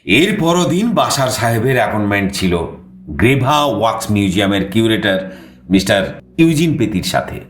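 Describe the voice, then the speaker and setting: A man's voice: on the low side, moderate pace, reverberant. An actor playing a theatrical raconteur who tells his own travel adventure as a dramatised audio story. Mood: tense, vivid. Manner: expressive, theatrical. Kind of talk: dramatised audio story narration in first person. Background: background music.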